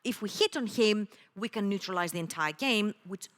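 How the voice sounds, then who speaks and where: A woman working as an esports analyst, giving quick, energetic analysis at a studio desk in a casual tone. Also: mid-range voice, big-room sound.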